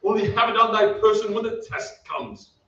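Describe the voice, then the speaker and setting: An actor playing a fussy old aristocratic ghost uncle with theatrical, archaic speech in a staged old-time radio drama. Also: male voice, high-pitched, moderate pace, sharp tone. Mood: grandly fussing. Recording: roomy, distant, dull, faintly noisy stage recording.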